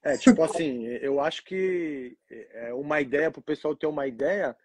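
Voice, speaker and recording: Husky São Paulo man on a video call. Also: on the high side, normal speed, no room sound. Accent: Paulista Brazilian Portuguese. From Brazil, São Paulo. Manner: relaxed, fills with "tipo assim". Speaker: guest, capoeira teacher abroad. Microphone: video call from abroad.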